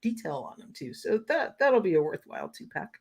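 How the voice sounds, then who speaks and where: A woman co-hosting a casual toy-collecting livestream, chatty and quick to laugh, with plenty of fillers. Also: low voice, very animated, vocal fry. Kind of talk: casual chat.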